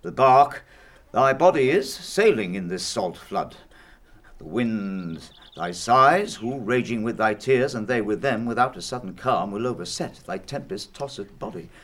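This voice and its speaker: A man's voice: lowish voice, expressive, measured pace. An actor playing a tyrannical, hot-tempered father and lord of the house in a declamatory Shakespearean style. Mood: mock tender, brooding. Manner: declamatory, swinging from hushed menace to furious shouting. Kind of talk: Shakespearean stage drama acting.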